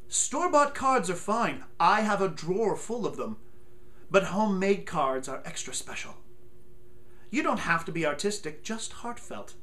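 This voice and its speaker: A man in his thirties giving a mock-solemn dramatic reading from a book, theatrical and deliberate, with emphatic pauses. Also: high voice, a bit bright. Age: 30s. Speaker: comic performer reading aloud. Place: small furnished room at home, indoors.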